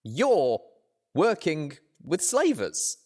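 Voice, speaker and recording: Man voicing an impassioned, high-pitched young female pony. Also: huge pitch swings, extremely slow, a little mellow, full character voice. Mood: shocked, outraged. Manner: exclaiming, emotional, stressed.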